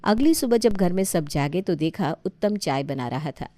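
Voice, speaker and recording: A woman's voice: fairly low pitch, expressive, normal speed, warm tone, no room sound. Woman narrating a story, dry close sound. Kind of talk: scripted storytelling narration in a family comedy story.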